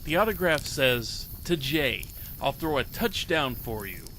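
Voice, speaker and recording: A man voicing an excitable, earnest ten-year-old boy reading his diary in a dramatic short story. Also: medium pitch, a little slow, a little hiss or hum, heavily acted, rough recording. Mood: excited. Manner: naive, childlike, eager phrasing.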